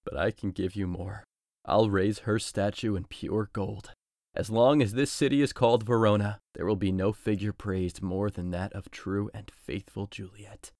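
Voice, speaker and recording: A man's voice: on the low side, fairly even intonation, moderate pace, a little mellow, no room sound, studio quality, lightly acted. A man playing a grieving old nobleman and bereaved father in a modernized Shakespeare audio drama. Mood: solemn grief.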